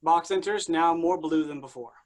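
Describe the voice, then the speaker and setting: A man with a slightly high voice narrates a play script. He reads short stage directions for a staged reading. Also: moderate pace.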